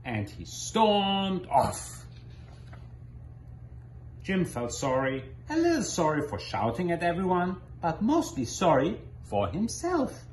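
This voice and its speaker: A man in his thirties reads a children's story aloud. His delivery is deliberate and expressive, with wide swings in pitch. The living room sounds echoey, with faint background noise. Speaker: guest storybook reader. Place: small indoor living room.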